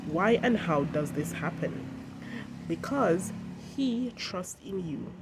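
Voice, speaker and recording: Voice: mid-range voice, expressive, slow pace. A host with a head cold, sounding congested, giving an earnest devotional talk that reads scripture and speaks directly to viewers. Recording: noisy outdoor traffic background.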